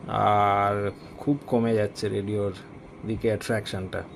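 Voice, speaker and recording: A man's voice: fairly low pitch, little pitch movement, measured pace, creaky, slight background noise. A man with a Bengali Indian accent chatting casually as an amateur radio operator, mixing English phrases and radio procedure into his talk. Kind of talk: casual ham radio conversation.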